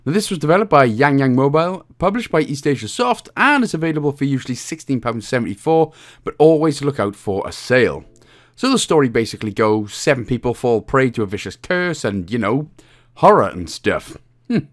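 A man presents a casual game achievement guide. His pitch swings in a lively way as he explains things, and his manner is jokey and a bit crude. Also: brisk. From Wales. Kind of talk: casual video game walkthrough commentary.

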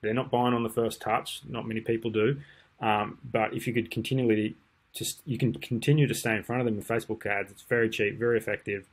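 Laid-back man with a low voice. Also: a little fast. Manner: relaxed, rambling, many ums and restarts. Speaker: marketing tutor. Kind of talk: casual screen-share tutorial. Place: indoors at a desk.

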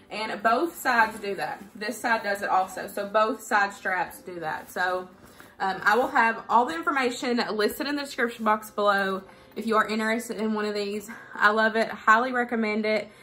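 A woman's voice: medium pitch, sharp tone. A woman, chatting casually and informally to camera as a vlogger. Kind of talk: casual chat to camera, product review and haul. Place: living room at home.

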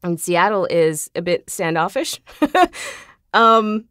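A woman chatting casually as an interview guest, talkative and quick to laugh. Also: fairly low pitch, fairly bright tone, clean tone, no echo, clean studio sound. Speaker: guest, voice actor. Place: closet home studio, dry sound. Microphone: shotgun studio microphone.

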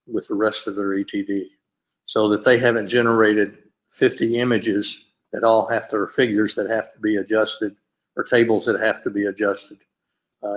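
An older man speaking as a university administrator on a video call panel, explaining his office's review process in a steady way with frequent fillers. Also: fairly low pitch, low-quality recording. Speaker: panelist, graduate school administrator. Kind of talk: conference panel talk over video call.

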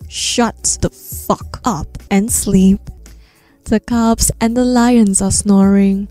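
A woman streamer reading a storybook aloud in a soothing bedtime manner, gently, sometimes dropping into a whisper, close to the microphone. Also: medium pitch, no echo.

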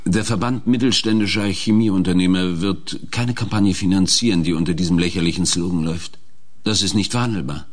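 A man's voice: low voice, fairly quick. An actor playing a curt, domineering male industry lobbyist in a radio play, brusque and condescending. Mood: dismissive.

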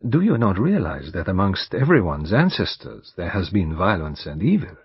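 A man's voice: deep voice, big pitch swings, normal speed, husky, dry recording, heavily acted. A man reading an audiobook, voicing an elderly Belgian male detective with a French-tinged accent, speaking in a formal, precise way. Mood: probing. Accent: French-tinged English. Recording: dull lo-fi recording.

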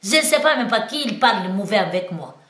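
A woman's voice: medium pitch, lively intonation, quick pace, fairly bright tone. Echoey Guinean woman, broken French. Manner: simple, broken French with frequent restarts, growing heated and emphatic. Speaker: singer and musician. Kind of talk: interview.

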